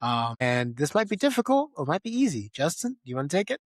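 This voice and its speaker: A man's voice: fairly high pitch, sing-song, fast, dry recording, studio quality. A man hosting a podcast in a casual, conversational film discussion. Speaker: podcast co-host.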